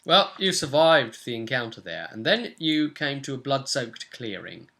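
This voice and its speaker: A man's voice: mid-range voice, big pitch swings, moderate pace, a bit bright. A young man, the host and game master, casually recapping a tabletop role-playing session from a room at home. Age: young adult. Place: small room at home, indoors.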